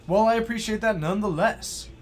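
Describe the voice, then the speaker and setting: Excitable man hosting a game stream. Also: high voice, fairly quick, soft background music. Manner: excitable, effusive and gushing with thanks. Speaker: stream host and match commentator. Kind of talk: live-stream card game commentary and chat shout-outs.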